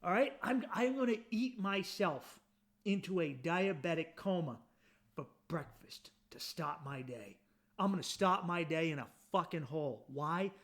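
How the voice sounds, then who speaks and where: A man's voice: fairly high pitch, animated, a little slow, a bit bright. A man hosting a podcast, riffing through a profane, mock-outraged comedic rant. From United States. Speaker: podcast host.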